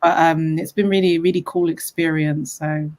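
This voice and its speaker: A woman's voice: low-pitched, fairly even intonation, normal speed, darkish tone. A woman hosting a casual podcast conversation, enthusiastic and chatty, with many fillers. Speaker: podcast host.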